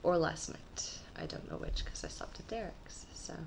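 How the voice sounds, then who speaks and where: Low husky nasal woman rambling. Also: huge pitch swings, moderate pace, slight background noise. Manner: rambling, trailing off mid-thought. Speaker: vlogger. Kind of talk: casual rambling vlog. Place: her own apartment.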